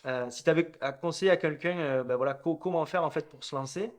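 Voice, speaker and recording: A young man hosting an interview, asking questions briskly with many filler hesitations. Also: fairly high pitch. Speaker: interviewer, host. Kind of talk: interview host.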